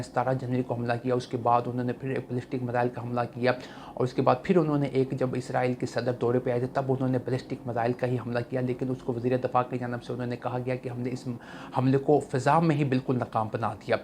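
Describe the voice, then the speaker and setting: A man's voice: medium pitch, a little mellow. A man with a Pakistani accent hosting news commentary, explaining things to viewers in a repetitive way. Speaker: news commentary channel host. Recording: clear background noise, ambient sound.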